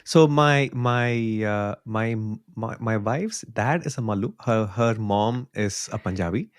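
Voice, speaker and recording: A man's voice: fairly low pitch, slow pace, dry recording, studio quality. A man from India in a casual podcast chat, speaking earnestly and thinking aloud. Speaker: podcast co-host. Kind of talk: casual conversation.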